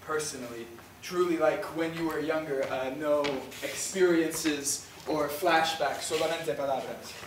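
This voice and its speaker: A man playing an earnest, proud old Puerto Rican grandfather who deliberately tells his history in a stage play. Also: fairly high pitch, steady tone, close to an everyday voice. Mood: gentle, insistent. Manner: deliberate, storytelling, slips into Spanish. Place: indoor stage, recorded from far off. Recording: roomy, distant theatre recording, faint noise.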